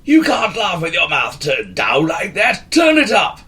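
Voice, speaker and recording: A man voicing a large, fat, excitable male wizard who talks in a wheezy way, bossy and cheerful. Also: high-pitched, a little slow, pitched above the performer's own voice, heavily acted.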